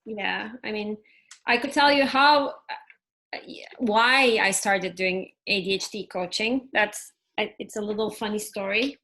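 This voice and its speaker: A woman from Turkey whose first language is Turkish, a family coach telling her story softly with many fillers over a video call. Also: mid-range voice, measured pace, low-quality recording. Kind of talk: casual interview conversation.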